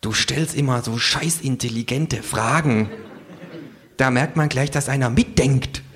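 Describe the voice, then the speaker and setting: Reader doing a loud, slurring, cynical kangaroo, pitched way up, rants from the bar. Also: male voice, measured pace, close to an everyday voice. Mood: scornful ranting. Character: brash boozy cynical male kangaroo. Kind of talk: live comic book reading.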